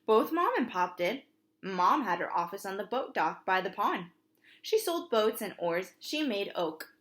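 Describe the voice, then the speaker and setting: A woman's voice: mid-range voice, sing-song, a little slow, a bit bright. A woman preschool teacher reads a storybook aloud for young children, expressively, with simple asides to her listeners.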